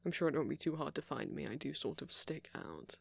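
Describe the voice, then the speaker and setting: Husky, creaky flirty gentleman vampire played by a man. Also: on the high side, lively intonation, brisk, dry recording, exaggerated voice. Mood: friendly, inviting. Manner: soft-spoken, apologetic, rambling, politely flirtatious. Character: friendly, flirty, gentlemanly male vampire, chatty and considerate. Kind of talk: intimate ASMR roleplay.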